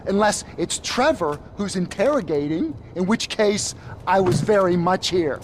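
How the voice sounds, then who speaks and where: Jittery drug dealer, rambling, nervous, wisecracking, game cutscene, faint noise. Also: male voice, high voice, animated, a little slow. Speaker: nervous accomplice in a crime crew.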